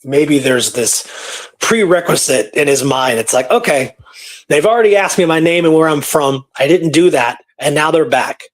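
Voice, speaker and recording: A man's voice: a little high, expressive, normal speed. A man co-hosting a show, talking casually through history and voicing imagined thoughts as he tells it. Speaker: radio show co-host.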